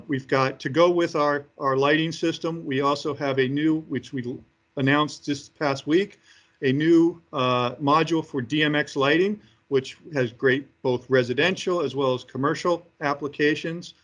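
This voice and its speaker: A man giving interview answers as a company executive presenting products, speaking haltingly with frequent hesitations between phrases. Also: a little high, a bit bright.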